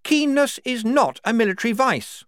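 Man voicing a high-pitched, argumentative army major. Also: lively intonation, moderate pace, a bit bright, clean tone, no room sound, clean studio sound. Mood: tetchy, insistent. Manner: argumentative, formal, precise. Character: brisk, tetchy, principled male army major. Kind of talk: audiobook character dialogue.

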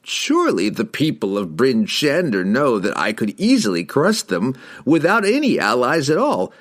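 A man voicing an arrogant, menacing wizard in a fantasy audiobook, slow and imperious as he presses his demands. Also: medium pitch, huge pitch swings, voice pushed up. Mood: smug, pressing.

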